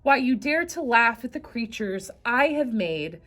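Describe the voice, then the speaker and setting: A woman's voice: a little high, big pitch swings, a little slow, fairly bright tone, full character voice. A woman voicing a furious male God who scolds sternly in a storybook reading.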